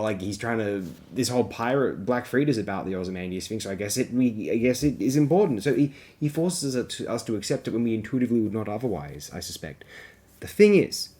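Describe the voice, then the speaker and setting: A man hosting a film review program, giving opinionated, digressive commentary in bookish phrasing with halting, self-interrupting asides. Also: fairly low pitch, a little mellow.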